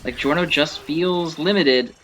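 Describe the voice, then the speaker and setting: A man's voice: fairly high pitch, measured pace, fairly bright tone, soft background music. A man giving casual, opinionated gameplay commentary, thinking aloud in a conversational way. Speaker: gaming video host.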